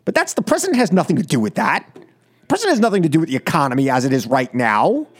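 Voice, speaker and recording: A man's voice: a little high, sing-song, fast talker, slightly rough. An American man hosting political talk radio, delivering an opinionated, combative monologue and repeating phrases for emphasis.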